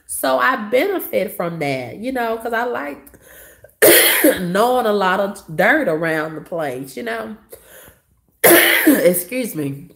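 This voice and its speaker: A hoarse woman with a cough, telling a story to her viewers in a blunt, profane, dismissive way. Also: medium pitch, lively intonation, slow, pauses often, a bit bright. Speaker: vlogger.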